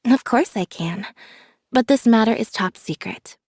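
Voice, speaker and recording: A woman voicing a reserved, observant young female magician's assistant in a video game, speaking in a flat, measured, deadpan way. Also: fairly high pitch, breathy edge, no echo, clean studio sound. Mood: quietly thoughtful. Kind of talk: scripted video game character voice lines.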